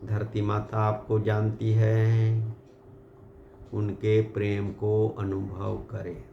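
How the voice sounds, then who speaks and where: A man with an Indian accent leading a guided meditation, giving calm, unhurried and gently directive instructions. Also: lowish voice, vocal fry. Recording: muffled, roomy sound with background hiss.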